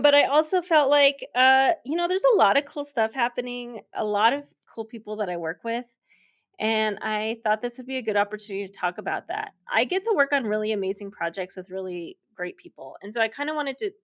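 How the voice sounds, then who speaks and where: A woman in her thirties, a podcast host being interviewed, talking casually with lots of fillers and frequent laughter. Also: medium pitch. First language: English. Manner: chatty, laughs often, many fillers.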